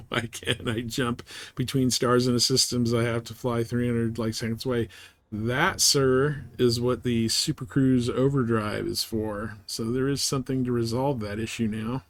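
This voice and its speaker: Guy doing a casual game stream, mid pitch voice, laid back, kinda thinking out loud in bits. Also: flat delivery, normal speed. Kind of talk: casual live gameplay commentary.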